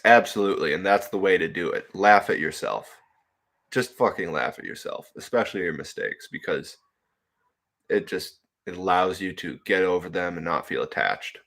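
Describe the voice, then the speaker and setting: A young man in his twenties with a deep, low voice talks loosely to his livestream chat. He rambles in a laid-back way, reads comments aloud, and laughs easily between thoughts. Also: normal speed, fairly bright tone. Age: 20s. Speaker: livestream host reading chat. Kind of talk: casual solo livestream chat.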